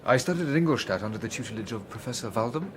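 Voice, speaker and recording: An actor playing an educated gentleman scientist with a haunted air, speaking in a formal, literary way in a gothic radio drama. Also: mid-range voice, animated, very fast, slight background noise, close to an everyday voice. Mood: polite, guarded.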